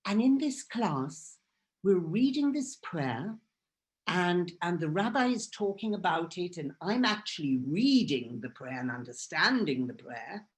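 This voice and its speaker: A woman's voice: on the low side, big pitch swings, measured pace. A woman from Israel whose first language is Hebrew, giving an earnest, emphatic personal talk as a guest speaker. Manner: earnest and emphatic, repeating phrases for force.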